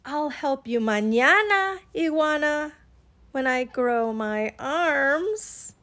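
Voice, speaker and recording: Woman as a storybook snake, idle, breezy, noncommittal, high-pitched. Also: expressive, unhurried, a bit bright. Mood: breezy excuses. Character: idle rattlesnake, forever putting things off.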